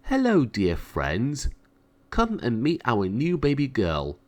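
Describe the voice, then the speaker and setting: A man's voice: medium pitch, huge pitch swings, slow, a little mellow. Guy doing a proud kindly cat king, stately, takes his time, storybook. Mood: welcoming. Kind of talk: children's storybook read-aloud.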